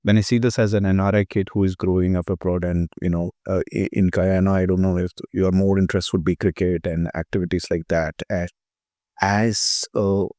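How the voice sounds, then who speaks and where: A man's voice: deep voice, level tone, a little fast, mellow, no room sound, studio quality. A middle-aged man hosting an interview, politely welcoming his guest and asking questions with some hesitant ums. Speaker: host.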